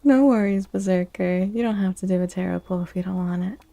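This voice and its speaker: A soft-spoken woman hosting an ASMR livestream, chatting casually and unhurriedly with viewers, close to the microphone. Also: fairly low pitch, brisk, creaky. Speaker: live streamer hosting ASMR tarot stream.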